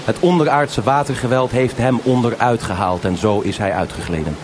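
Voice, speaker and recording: A man's voice: mid-range voice, moderate pace, background noise. A man narrating a radio noir crime drama in dramatic, clipped short sentences. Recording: live radio play, loud water effects. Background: loud gushing water sound effects.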